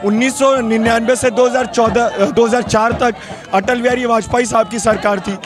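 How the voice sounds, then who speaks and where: A man's voice: very high-pitched, steady tone, moderate pace, music in the background. A young male student activist giving fiery answers into a handheld mic at an outdoor street protest. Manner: forceful, fiery political speech. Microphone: handheld reporter mic. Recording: outdoor protest with crowd behind. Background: crowd noise.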